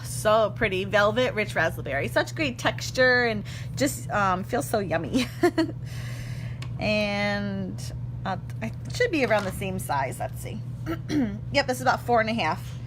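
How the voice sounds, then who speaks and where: A woman in her thirties hosting a casual stamping craft demo, slightly hoarse, chatty and self-correcting, talking while she works at a table in her craft room. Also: mid-range voice, lively intonation, unhurried. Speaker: craft tutorial host. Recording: clear background hum.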